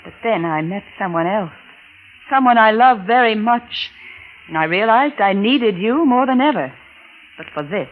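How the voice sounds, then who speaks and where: Actress as cold, scheming radio-drama murderess, lively pitch. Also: female voice, a little hiss or hum, close to an everyday voice, low-quality recording. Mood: coolly menacing. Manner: coolly deliberate, taunting. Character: cold, scheming, unfaithful wife turned killer. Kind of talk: 1940s radio suspense acting. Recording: vintage radio recording with music behind.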